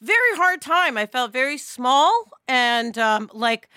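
A middle-aged woman co-hosting a podcast in an emphatic, expressive conversational style. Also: high voice, slow, clean tone, no echo, clean studio sound. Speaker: podcast co-host. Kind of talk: animated conversational discussion.

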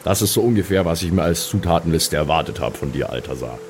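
A man's voice: deep voice, expressive, fast, creaky, a little hiss or hum. A man, improvising in character in a tabletop game in a dry, grumbling manner. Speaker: player in a tabletop role-playing game. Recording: prominent music behind the voice.

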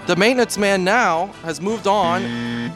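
Higher-voiced earnest man, amateur newsreader. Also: big pitch swings, measured pace, over loud music. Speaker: show staffer trying out as newsreader. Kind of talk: amateur comic newscast and short replies.

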